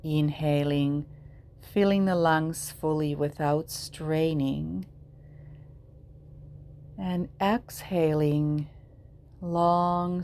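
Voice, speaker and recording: A woman leading a guided yoga nidra meditation in a soothing, measured way, leaving long pauses between short phrases. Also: deep voice, vocal fry, mellow, a little hiss or hum.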